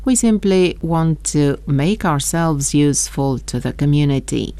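A woman radio reporter delivers a scripted report. Her voice sits low and carries a noticeable gravelly fry. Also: expressive, measured pace, warm tone, dry recording. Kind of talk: scripted news report narration.

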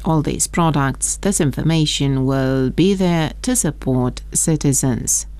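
Low gravelly woman reading news. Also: unhurried. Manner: steady, matter-of-fact reading. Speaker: radio reporter. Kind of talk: news report with voice-over translation.

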